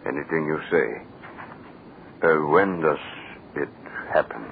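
An actor playing a grave, obedient army general with a gravelly voice in a 1940s radio drama. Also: male voice, extremely low pitch, slow pace, heavily acted. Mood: grave, deferential. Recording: tinny, hissy old radio recording.

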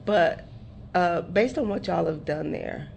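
Low-voiced woman hosting a chat. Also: slow, creaky, a little mellow. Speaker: radio show host. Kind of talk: casual studio chat. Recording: noisy studio recording.

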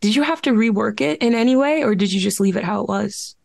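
Creaky-voiced woman, casual podcast host. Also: a little high, fast, darkish tone. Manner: informal, sweary. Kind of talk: casual interview chat.

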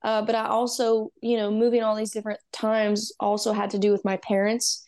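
A woman's voice: mid-range voice, monotone, brisk, darkish tone. A young woman from Texas, a musician guest talking earnestly and upbeat, with many "um" and "you know". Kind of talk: casual interview.

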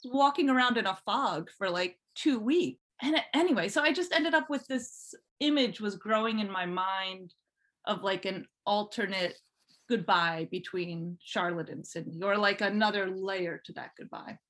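Female podcast guest, informal and chatty, relaxed personal storytelling in everyday conversational language. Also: medium pitch, normal speed. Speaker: podcast guest, fan fiction writer. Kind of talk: casual chat, telling personal story.

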